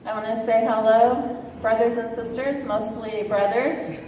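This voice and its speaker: Woman giving a keynote at a convention, slow and blunt, lots of ums, laughs between lines, far from the mic, big echoey hall. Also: a little high, steady tone, dark tone, a little hiss or hum. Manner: speaks hesitantly with many fillers and restarts. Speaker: keynote speaker. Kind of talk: political convention speech.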